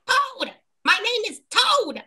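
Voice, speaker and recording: A woman's voice: very high-pitched, big pitch swings, slow pace, sharp tone, voice pushed up, exaggerated voice. A woman voicing a small, grumbling mushroom sidekick in an amateur script reading, with emphatic cartoonish delivery. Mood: indignant.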